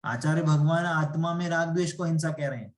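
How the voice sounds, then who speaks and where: Teaching man with a slightly high voice. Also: a little fast. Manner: explains patiently with rhetorical questions. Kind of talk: spiritual class lecture. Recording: echoey room.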